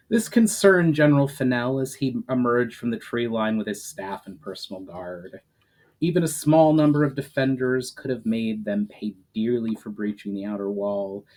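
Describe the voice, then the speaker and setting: A man's voice: fairly low pitch, a little mellow, rough recording. A man who hosts a story session as an author, presenting and reading his own fantasy writing aloud. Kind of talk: informal introduction, then dramatic story narration.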